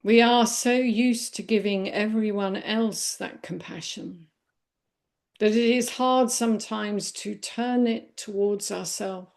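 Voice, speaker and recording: A woman leading a guided body scan meditation in a gentle, unhurried manner with long pauses. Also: mid-range voice, fairly even intonation, vocal fry. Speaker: meditation teacher.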